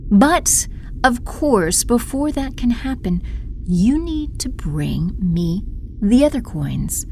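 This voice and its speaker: A scheming evil sorceress acted by a woman speaks in a slow, low voice with a dark, mellow tone. She is imperious and gloating, an audio drama villain with breathy menace, recorded over faint noise. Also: very animated, exaggerated voice.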